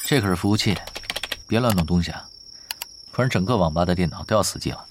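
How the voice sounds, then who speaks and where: A man playing an easygoing, teasing pro gamer and team leader in an audio drama, talking unhurriedly with quiet confidence. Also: low-pitched, fast talker, noisy background, lightly acted. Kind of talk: Chinese audio drama acting.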